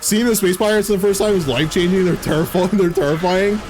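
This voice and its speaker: A man in his thirties, a game streamer giving casual, excitable, jokey commentary while playing and laughing often. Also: sits high, fast, faint music behind, low-quality recording. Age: 30s. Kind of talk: casual live gameplay commentary.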